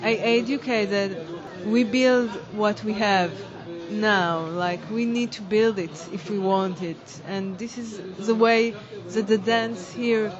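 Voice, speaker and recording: A woman's voice: medium pitch, fairly even intonation, music in the background. A woman, a dance teacher, answers interview questions in hesitant, searching English. The audio is dull and lo-fi. A crowd chatters in the background. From Israel. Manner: halting, searching for words in non-native English.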